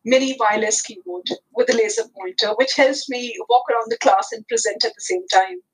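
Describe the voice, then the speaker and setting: A woman whose first language is Hindi, a teacher answering interview questions in a measured, earnest way. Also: a little high, very animated, husky, poor audio. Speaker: teacher, podcast guest.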